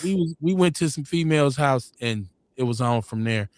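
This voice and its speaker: A man's voice: medium pitch, normal speed, no echo. Laid-back man telling stories, dry sound. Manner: laid-back, rambling, animated when quoting others. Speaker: podcast host. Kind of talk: casual storytelling chat.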